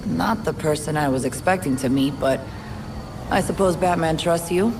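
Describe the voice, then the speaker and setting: A woman's voice: low voice, moderate pace. An actress playing a weary, honest female police detective in a video game, frank and guarded in manner. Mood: wary, frustrated. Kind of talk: video game cutscene acting. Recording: noisy ambient background.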